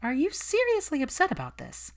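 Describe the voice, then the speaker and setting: A woman's voice: wildly swooping pitch, a little fast, exaggerated voice. A woman voicing a furious, outspoken young woman who argues insistently and indignantly in an audiobook-style story. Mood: indignant disbelief. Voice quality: shrill, screeching.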